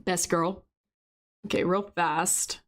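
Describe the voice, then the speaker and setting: A woman's voice: lowish voice, steady tone, takes it slowly. A woman streaming a visual novel, reading it aloud and chatting through her thoughts with frequent restarts. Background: faint music.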